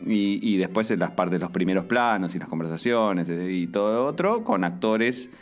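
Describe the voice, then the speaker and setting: Deep man, chatty rambler, faint music underneath. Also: animated, normal speed. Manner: rambling, many fillers and restarts. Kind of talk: casual podcast chat.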